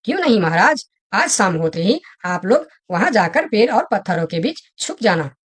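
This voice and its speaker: A woman's voice: medium pitch, big pitch swings, moderate pace, breathy edge. A woman voicing a friendly, earnest monkey in a children's cartoon, speaking expressively. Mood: pleading, confident. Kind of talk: children's cartoon story dialogue.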